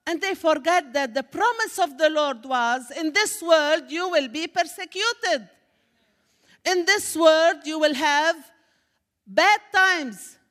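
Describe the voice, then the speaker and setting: High-voiced Syrian woman preaching slowly. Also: expressive. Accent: Syrian Arabic. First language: Arabic. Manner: warm preacherly, rising to emphatic exclamations. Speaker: guest speaker. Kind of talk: church testimony address. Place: church sanctuary. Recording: echoey church hall.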